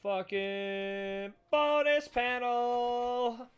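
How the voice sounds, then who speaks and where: A man live-streaming a casual, excitable reaction read-through, swearing freely as he chats with viewers. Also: extremely high pitch, extremely slow, smooth, faint music behind. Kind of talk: casual livestream reaction chat while reading manga.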